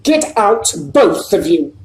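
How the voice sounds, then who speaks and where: A woman voicing a blustering, bad-tempered middle-aged uncle in a storybook reading, gruff and barking. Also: lowish voice, very animated, slow, darkish tone, voice pushed up. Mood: angry barking. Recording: echoey room.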